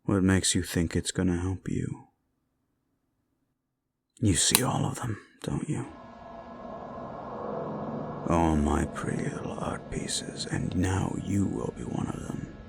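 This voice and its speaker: A man playing a cruel, menacing slasher killer in a horror audio roleplay, taunting a captive in a gravelly, breathy half-whisper. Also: low-pitched, noisy background, full character voice. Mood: sadistic, threatening. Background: music.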